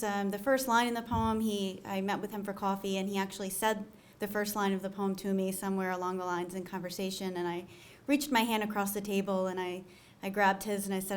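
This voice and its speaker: A young woman reading her own poem aloud at a podium, measured and soft, with hesitant fillers when she introduces it. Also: medium pitch. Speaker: student poet reading her work. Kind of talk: poetry reading. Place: small lecture room with audience. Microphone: podium gooseneck mic.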